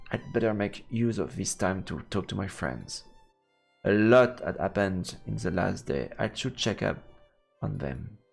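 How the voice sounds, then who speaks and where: A man's voice: fairly low pitch, gravelly. A man reading a visual novel aloud on a live stream in a playful way, with stammered asides. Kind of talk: live let's play, reading narration with reactions. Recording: background music under the voice. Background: game music.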